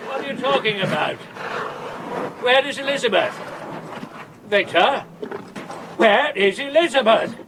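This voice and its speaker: A man's voice: extremely high pitch, expressive, unhurried, pauses often, noisy background, heavily acted. An actor playing a distraught elderly father, anguished, in a radio drama. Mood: alarmed. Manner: anguished, wailing.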